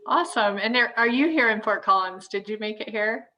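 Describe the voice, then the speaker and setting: A woman's voice: mid-range voice, a little fast, muffled recording. A woman hosting an orientation video call, calling on each person in turn with a friendly, upbeat manner. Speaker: orientation host.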